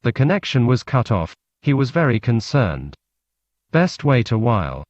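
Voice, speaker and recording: A man with a Scottish accent reads a literary story laced with Scots words in a deadpan, clipped manner. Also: mid-range voice, moderate pace. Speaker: narrator, storyteller. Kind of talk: literary story reading in Scots-flecked English. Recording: muffled lo-fi recording with background noise.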